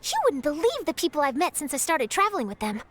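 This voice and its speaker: A woman's voice: high-pitched, very animated, fast. A woman voicing a confident, curious young woman in an anime video game, talkative and articulate. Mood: confident, advising. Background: game music.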